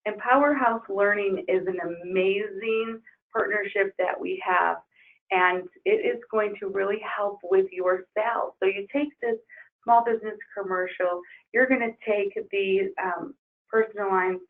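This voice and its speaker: A woman trainer losing her voice, leading an upbeat software webinar walkthrough. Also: mid-range voice, steady tone, measured pace. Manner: upbeat, enthusiastic promoting. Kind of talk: webinar screen-share walkthrough. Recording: tinny phone-like webinar audio.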